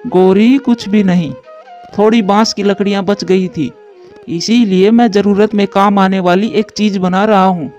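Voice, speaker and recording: A man voicing calm male birds in a children's cartoon, speaking in a measured, explanatory way. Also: high-pitched, lo-fi sound. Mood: calm, friendly. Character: calm male crow husband and stately eagle king. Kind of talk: Hindi children's cartoon dialogue. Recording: music behind the voice.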